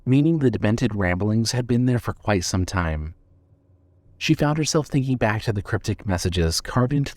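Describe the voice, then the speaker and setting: A man reads scripted fiction as narrator. His voice sits on the low side, with a measured, suspenseful delivery. The recording is dry and close. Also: darkish tone, dry recording.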